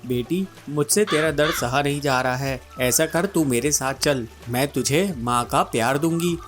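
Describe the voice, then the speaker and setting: A man's voice: mid-range voice, normal speed, over music, close to an everyday voice. A man voicing a caring, motherly female bulbul bird in a children's cartoon story, speaking with sympathy. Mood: tender concern.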